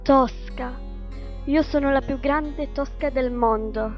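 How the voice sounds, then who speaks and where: Haughty temperamental prima donna for a radio play, high voice, very dramatic, kinda dull recording. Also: female voice, takes it slowly, faint music behind. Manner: theatrical, haughty, emphatic. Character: imperious, vain, temperamental female opera diva.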